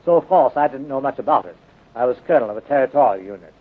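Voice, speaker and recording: Genteel military gentleman, muffled old radio. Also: mid-range voice, lively intonation, racing, husky. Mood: troubled, polite. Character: distressed, well-bred military gentleman, former colonel. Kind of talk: 1930s radio drama acting. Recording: muffled, narrow-band 1930s radio recording.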